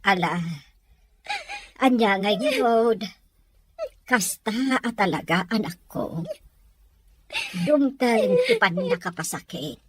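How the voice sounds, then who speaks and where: Woman doing soft older mom, slow, consoling, sad, radio drama, slightly noisy. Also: mid-range voice, very animated. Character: gentle, consoling older mother. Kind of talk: amateur radio-drama acting.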